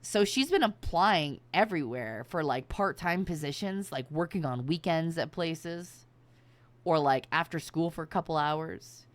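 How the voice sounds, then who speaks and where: A woman's voice: low-pitched, unhurried, dry recording. A middle-aged woman streamer chatting casually and emphatically, with plenty of swearing. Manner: animated, emphatic, swears freely. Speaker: VTuber streamer. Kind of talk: casual livestream chat.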